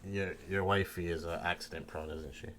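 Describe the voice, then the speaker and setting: A man's voice: low voice, steady tone, brisk, a bit bright, slight background noise. A man streaming a game, switching between first-person narration read aloud and casual banter.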